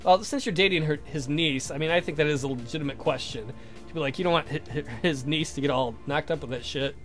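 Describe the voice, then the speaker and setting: A man's voice: a little high, quick pace, fairly bright tone, soft background music. A man hosting a let's play, reading a visual novel aloud and throwing in playful, irreverent, foul-mouthed asides.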